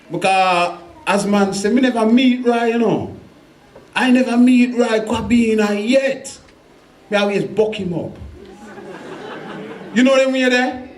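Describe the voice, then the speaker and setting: A man from England speaks with a Birmingham Jamaican patois, bantering easily with the crowd at a spoken word event. His voice sits high for a man. The room around him is echoey. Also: slight background noise. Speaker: poet.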